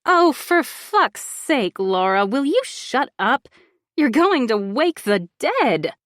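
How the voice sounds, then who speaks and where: A woman putting on a slow, taunting villainess. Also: fairly high pitch, very animated, no echo, clean studio sound, pitched above the performer's own voice, exaggerated voice. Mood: irritated. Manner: taunting, drawn-out, sing-song. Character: coolly menacing woman who drugs people, mocking.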